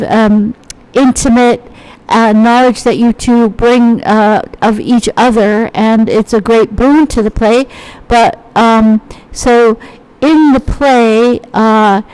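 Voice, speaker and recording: A woman's voice: a little high, steady tone, slow pace, darkish tone, clean tone, low-quality recording. A woman interviewer asking unscripted questions, feeling her way hesitantly through long, spontaneous phrasing.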